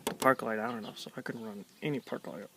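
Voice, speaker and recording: A man giving a casual, offhand walkaround in colloquial speech, low-key and gravelly. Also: fairly even intonation, fast. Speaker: vlogger showing his pickup trucks. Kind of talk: casual chat, walkaround show-and-tell. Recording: noisy outdoor handheld camera recording.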